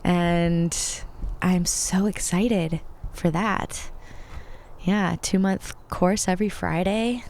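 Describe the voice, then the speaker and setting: Slightly raspy, low-voiced woman, congested. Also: steady tone, takes it slowly, darkish tone, a little hiss or hum. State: sniffly with allergies. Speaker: podcast guest. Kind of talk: casual podcast conversation.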